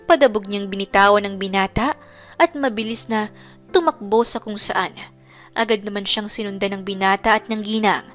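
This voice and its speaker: A woman's voice: mid-range voice, moderate pace, fairly bright tone. A woman narrating a scripted romance story in a dramatic storytelling style. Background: background music.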